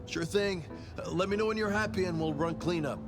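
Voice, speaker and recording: Highish-voiced easygoing street cop. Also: male voice, brisk, loud music behind the voice. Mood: matter-of-fact. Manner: casual, clipped, matter-of-fact. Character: easygoing male street cop briefing a detective. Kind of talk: video game dialogue acting.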